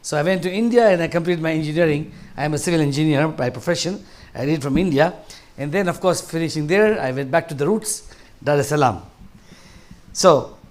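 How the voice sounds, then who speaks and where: A man in his sixties from Tanzania, giving a conversational talk to a seniors group in a large hall, rambling and restarting his sentences. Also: on the high side. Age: 60s. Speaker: guest speaker.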